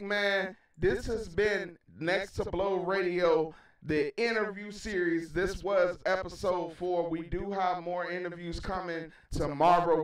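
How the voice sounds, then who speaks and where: A middle-aged American man hosts a casual interview show with emphatic, repetitive hype. His voice sits a little high and comes through very echoey, well away from the microphone. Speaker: radio show host and DJ. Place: small indoor room, video call. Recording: very echoey, distant from mic.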